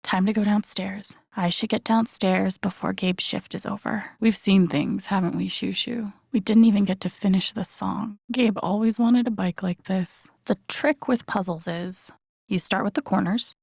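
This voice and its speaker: A young American woman as an introspective video game heroine. Her voice sits at a middling pitch with a gravelly fry, recorded very dry and close. She talks to herself in naturalistic, wry murmured asides. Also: steady tone, moderate pace, a little mellow, no echo. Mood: casual, wry. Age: young adult. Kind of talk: naturalistic game inner-monologue acting.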